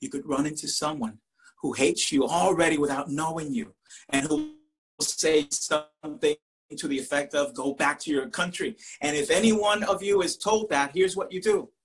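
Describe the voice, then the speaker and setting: A Spanish-speaking man from Puerto Rico with a Puerto Rican accent, telling a personal story to an audience with earnest, dramatic pauses. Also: a little high, animated, low-quality recording. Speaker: professor and poet.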